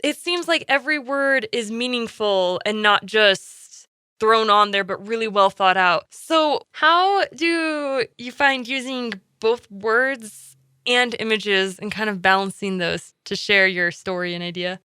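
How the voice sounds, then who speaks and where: A woman's voice: a little high, unhurried, sharp tone, clean tone, no room sound, clean studio sound. A woman hosting a casual interview, chatty and appreciative as she responds to her guest. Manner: appreciative, chatty, rephrases thoughtfully. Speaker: podcast interviewer.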